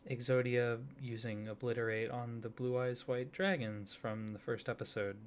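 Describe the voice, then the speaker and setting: A man doing a soft-spoken ASMR roleplay, hesitant and flustered, with many fillers, restarts and awkward apologies. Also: medium pitch, steady tone, moderate pace, a little hiss or hum.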